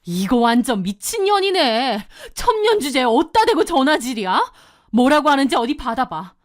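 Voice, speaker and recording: A woman's voice: fairly high pitch, animated, moderate pace, close to an everyday voice. Woman acting a fiery big sister, swooping intonation. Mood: indignant, bossy. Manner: blunt, commanding, cursing. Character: protective, hot-headed older sister, indignant and bossy.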